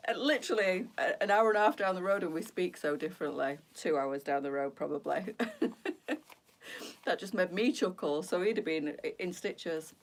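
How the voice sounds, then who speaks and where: A woman's voice: fairly low pitch, lively intonation, normal speed. Chatty Yorkshire woman live-streaming. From Yorkshire, England. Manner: chatty and rambling, laughs often, teasing. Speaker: boutique live-stream host. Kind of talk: casual live-stream chat to viewers.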